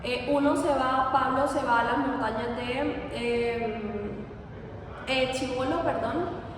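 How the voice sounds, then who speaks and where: A woman's voice: high voice, slow pace. A woman in her late twenties from Venezuela, giving a hesitant, self-correcting personal account as an interviewee. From Venezuela, Margarita Island. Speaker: interviewee, university student. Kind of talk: informal personal recollection in an interview. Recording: very echoey hall, distant mic, noisy.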